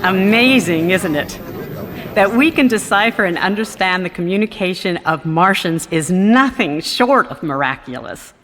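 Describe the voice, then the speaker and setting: A woman's voice: mid-range voice, a bit bright. A woman playing an earnest, composed museum guide in a radio drama, speaking formally and with ceremony. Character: composed, earnest female museum guide narrating Martian history. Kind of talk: scripted radio drama narration, lecture-like. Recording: long echoing hall reverb.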